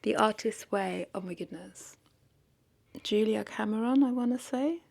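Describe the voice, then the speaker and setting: A woman's voice: mid-range voice, a little slow, vocal fry, a little mellow. A woman talking casually in an unscripted vlog, rambling and correcting herself as she thinks aloud. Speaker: content creator, vlogger.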